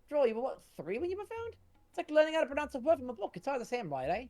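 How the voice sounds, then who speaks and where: Woman as lively, kindly British pal. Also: high voice, very fast, soft background music, voice pushed up. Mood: friendly, encouraging. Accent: put-on British.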